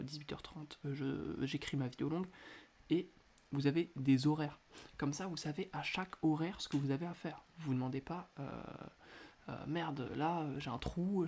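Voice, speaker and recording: A man hosting a casual solo podcast, talking quietly at home with a stuffy nose from a cold, hesitating often with filler sounds. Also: on the high side, breathy edge. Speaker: podcast host. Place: indoors at home at night.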